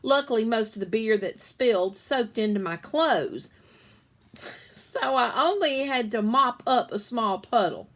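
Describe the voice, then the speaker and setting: A woman's voice: fairly high pitch, animated, a little slow. A woman reading a children's story aloud expressively, stretching key words as a storyteller does.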